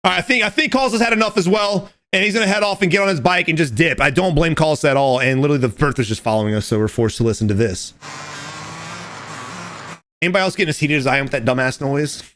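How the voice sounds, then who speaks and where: A man casually commenting over game footage, animated and profane, talking straight to his viewers, his voice going a little hoarse. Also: a little high, quick pace. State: losing his voice. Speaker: gaming commentator.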